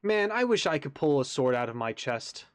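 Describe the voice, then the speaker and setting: A man's voice: fairly high pitch, sing-song, normal speed, dry recording, faint music behind. A man hosting a reaction video, giving casual, musing commentary in an informal way.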